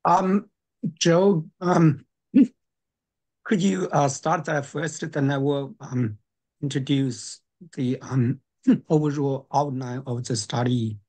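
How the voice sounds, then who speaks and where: A man's voice: medium pitch, slow, a little mellow. A male university researcher speaking politely and hesitantly in a meeting over a video call. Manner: polite, hesitant with many ums. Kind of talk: meeting remarks.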